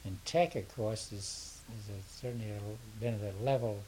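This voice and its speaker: Older man, dark mellow tone, hesitant rambling interview answers, light background hiss. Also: on the low side, poor audio. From southern United States. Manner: hesitant, rambling, halting with repeated words. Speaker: interviewee, education consultant. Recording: faint steady hiss.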